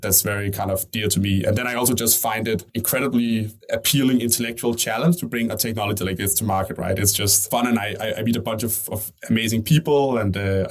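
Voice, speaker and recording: A man from Denmark, a company CEO, answering interview questions in a conversational way, thinking aloud and often tagging "right?" onto his points. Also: on the low side. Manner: fast, thinking aloud with frequent "right?" and restarts.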